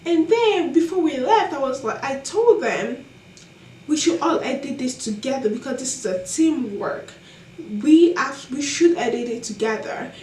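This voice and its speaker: A young woman with a non-American accent telling college stories casually, animated and exasperated, with many fillers. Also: high-pitched, measured pace, roomy sound, far from the mic, slight background noise, rough recording. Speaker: college student vlogger. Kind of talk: casual storytelling to camera.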